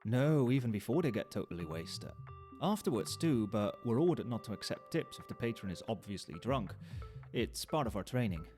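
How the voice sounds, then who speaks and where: A man's voice: on the low side, very animated, moderate pace. A man playing a chatty, knowing nightclub barman in an ASMR roleplay, talking conversationally to the listener with wry understatement. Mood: amused, chatty. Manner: conversational, explanatory, with hesitations and understatement. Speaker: voice actor in an audio roleplay. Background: soft club music and nightclub ambience.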